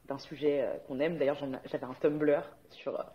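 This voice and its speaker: Woman guest from Guadeloupe, slightly low voice, chatty and hesitant, lo-fi recording. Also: fairly even intonation, brisk. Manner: chatty, frequent hesitations and laughter. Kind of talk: casual conversational interview. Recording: dull lo-fi sound.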